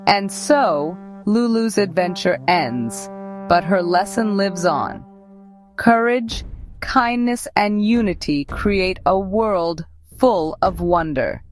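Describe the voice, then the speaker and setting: A woman's voice: medium pitch, slow pace, soft background music. A woman narrator hosting a children's story channel, reading a scripted tale for young listeners.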